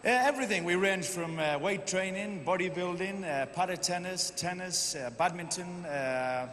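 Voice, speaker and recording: A man from Durham answers a host's questions with eager enthusiasm in a fairly high voice. Long arena reverb and steady background noise hang around him. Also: normal speed. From Durham, England. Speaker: game show contestant. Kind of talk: casual interview answers. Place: large indoor arena.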